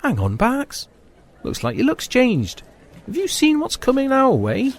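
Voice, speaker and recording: A man's voice: very high voice, wildly swooping pitch, measured pace, a little hiss or hum, full character voice. A man playing a loyal, laddish footballer mate in an audio drama, talking in colloquial, matey British English. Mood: cheeky. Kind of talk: full-cast sci-fi audio drama.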